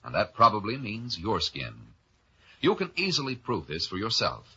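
A man reading a scripted 1950s radio soap advertisement as the announcer, with emphatic, deliberate persuasion. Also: lowish voice, huge pitch swings, bright, forceful tone, slightly rough. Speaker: commercial announcer. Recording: dull vintage radio broadcast.

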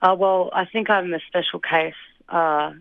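A young woman from Australia answering interview questions in a thoughtful, hesitant way, with fillers and soft laughs. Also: fairly low pitch, fairly even intonation, unhurried, creaky, no room sound. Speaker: interview guest. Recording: dry, phone-like line.

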